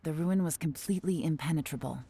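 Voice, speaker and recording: An actress playing a calm, calculating woman investigator in a video game, speaking in a measured, analytical way. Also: sits low, noisy background. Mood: measured exposition. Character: cool, shrewd adult female investigator, calm and calculating. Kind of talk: scripted game dialogue.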